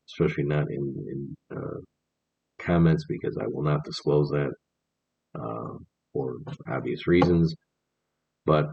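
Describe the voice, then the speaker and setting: Very deep, gravelly man in his forties. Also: darkish tone, highs cut off. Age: 40s. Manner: rambling, earnest, many fillers and restarts. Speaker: vlogger. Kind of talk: casual vlog monologue. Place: indoors, on camera.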